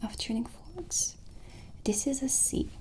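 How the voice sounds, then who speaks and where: A middle-aged woman hosting an ASMR roleplay, explaining softly and soothingly in a gentle whisper, close to the microphone. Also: sits high, lively intonation, slow. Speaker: ASMR host presenting a sound healing roleplay. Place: small dim indoor set.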